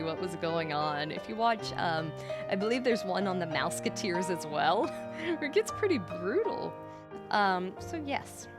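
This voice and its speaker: A woman hosting a livestream, speaking in a casual, rambling way as if thinking aloud. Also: mid-range voice. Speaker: livestream host, VTuber. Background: background music.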